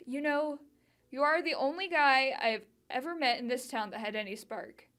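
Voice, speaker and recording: A woman's voice: high voice, measured pace, a bit bright. A woman playing an exasperated, tough-loving friend in a naturalistic dramatic monologue, blunt and reproachful. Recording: music bed behind voice.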